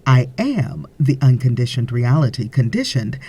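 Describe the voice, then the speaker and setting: A woman reading a spiritual audiobook as scripted narration, in a measured, deliberate way with frequent pauses. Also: a bass voice, big pitch swings, mellow, husky.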